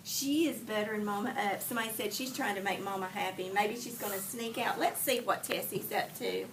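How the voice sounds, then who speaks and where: A woman's voice: medium pitch, a little fast. A woman teacher doing an interactive read-aloud for children, prompting with questions and repeating answers back. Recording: distant mic in a noisy room.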